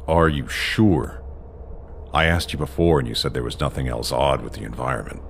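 An actor playing a stern, watchful mission leader in an audio drama, commanding and deliberate, with a gravelly, husky voice. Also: male voice, very deep voice, a little hiss or hum. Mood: stern, concerned.

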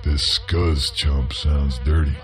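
An actor playing a gruff, terse bounty hunter drifter in a western video game, with a low gravelly growl and a folksy American Western drawl. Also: male voice, steady tone, slow pace, a crowd in the background. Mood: stern, dry.

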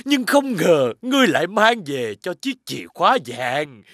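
Scornful cartoon bad guy, highish dry voice, bossy. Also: sing-song, moderate pace, a bit bright, no echo, exaggerated voice. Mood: menacing, smug. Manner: mocking, domineering. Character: menacing, greedy, scornful villain man. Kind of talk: animated fairy-tale cartoon voice acting.

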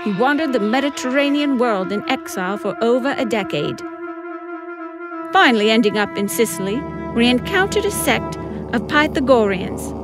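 A woman's voice: a little high, expressive, normal speed. A woman narrating a comic script in a wry, theatrical manner. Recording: loud music behind the voice.

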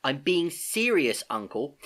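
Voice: medium pitch, very animated, slow pace, a bit bright, pitched above the performer's own voice, exaggerated voice. A storybook reader voicing an earnest, curious schoolgirl niece, eager and questioning, easily exasperated. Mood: earnest, puzzled.

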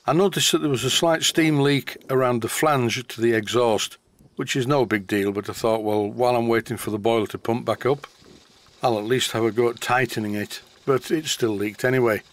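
A man's voice: mid-range voice, normal speed. A middle-aged man from England with a Yorkshire accent, narrating his model steam engine video in a plain, explanatory way. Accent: Yorkshire English. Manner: matter-of-fact, explanatory. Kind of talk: voice-over narration of a workshop video.

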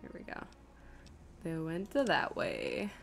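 A woman's voice: low voice, huge pitch swings, slow pace, creaky, slight background noise. A woman streamer giving casual live gameplay commentary, chattily thinking aloud to herself and her viewers. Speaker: video game streamer.

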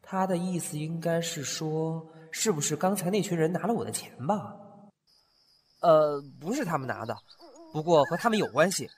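A man's voice: fairly high pitch, normal speed, slightly rough, no room sound. A man voicing a kind, flustered young scholar in a costume radio drama, chatty and fretful. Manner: chatty, animated, fretful inner asides. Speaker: voice actor.

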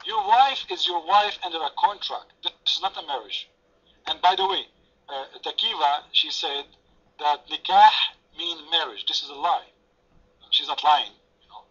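A man's voice: on the high side, a bit bright, breathy edge. A man whose first language is French, moderating an online debate with sarcastic, taunting remarks. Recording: lo-fi voice chat audio.

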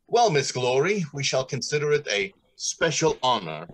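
A man's voice: mid-range voice, very animated, moderate pace, slight background noise, close to an everyday voice. A middle-aged man playing a pompous, courteous factory general manager in old-time radio drama style, theatrical and businesslike. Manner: theatrical, businesslike, hesitating with ums.

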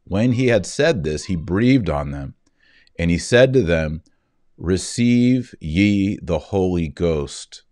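Male reader, 40s, low-pitched and unhurried, solemn scripture delivery, dry close-miked sound. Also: expressive, darkish tone, no room sound. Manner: measured, deliberate phrasing. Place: indoor room, seated at a desk.

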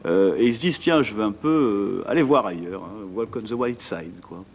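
A man's voice: lowish voice, expressive, moderate pace, darkish tone, slight background noise. A man in his forties, a guest at a studio desk mic, talking chattily with many fillers and restarts about what he organizes. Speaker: art event organizer. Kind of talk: TV studio interview.

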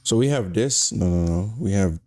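A young man with a raspy, just-woken-up voice, giving laid-back, slangy commentary while playing a video game. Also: on the low side, lively intonation, moderate pace, mellow, no echo, soft background music. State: just woke up. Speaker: gaming channel host. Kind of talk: casual gameplay commentary.

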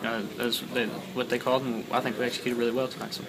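A young man, a college quarterback, answering reporters after a game, halting with many fillers and repeated words, recorded on handheld mics and phones held close. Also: medium pitch, level tone, background noise. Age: young adult. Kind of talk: postgame media scrum. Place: crowded locker-room interview area, indoors. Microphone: several handheld mics and phones held close. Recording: busy crowd chatter behind.

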